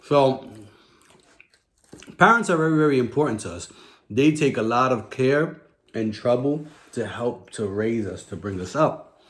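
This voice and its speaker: A man's voice: mid-range voice, expressive, measured pace, long pauses, fairly bright tone. A man hosting a children's story time, explaining simply in a chatty way and often checking with "right?". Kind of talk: children's storytime reading with casual chat.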